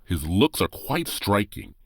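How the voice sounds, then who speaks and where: Deep, expressive male narrator. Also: a little slow, no echo. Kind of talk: scripted audio drama narration.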